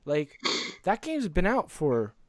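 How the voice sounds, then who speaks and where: A man's voice: high voice, wildly swooping pitch, slow pace, no echo. A man co-hosting a casual gaming podcast in an earnest, rambling way, close to the microphone. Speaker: podcast co-host.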